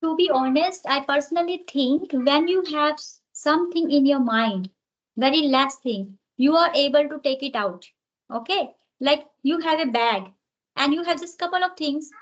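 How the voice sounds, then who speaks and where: A middle-aged woman with an Indian English accent, her first language Hindi, talks as a tutor in an online chat. Her voice sits a touch high and comes through a slightly dull, muffled call line. She explains patiently, reaching for everyday examples. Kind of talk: conversational English practice chat.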